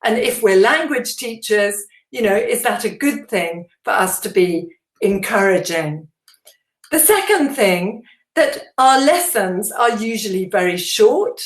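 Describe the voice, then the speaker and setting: A woman giving an instructional presentation to teachers as a trainer, explaining in a measured way with deliberate emphasis. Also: medium pitch, echoey room.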